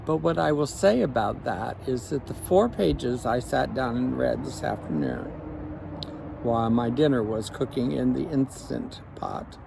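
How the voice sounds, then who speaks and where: A man, a life coach, speaking slowly and reflectively in an evening vlog recorded outdoors on a phone. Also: medium pitch, very animated, gravelly, noisy background. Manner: slow, measured, reflective.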